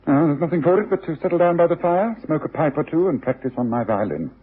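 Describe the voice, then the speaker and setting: An actor playing a bored, brilliant, self-assured London detective in a radio drama, with a British accent and a husky voice. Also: male voice, a little high, lively intonation, fast. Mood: musing, intent. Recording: thin, narrow-band old radio recording.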